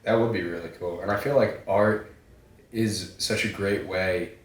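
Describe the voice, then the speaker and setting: A man's voice: low voice, fairly even intonation, measured pace. A young man hosting a podcast, talking in a laid-back way with casual swearing. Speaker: podcast host. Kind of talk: casual chat. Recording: echoey room, distant mic.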